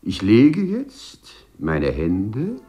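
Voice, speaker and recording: A man's voice: fairly low pitch, wildly swooping pitch, extremely slow, mellow, faint music behind, heavily acted. An actor in a 1950s German radio play, playing a polite, proud man who walks through walls, formal and dreamily rapturous. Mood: earnest, solemn.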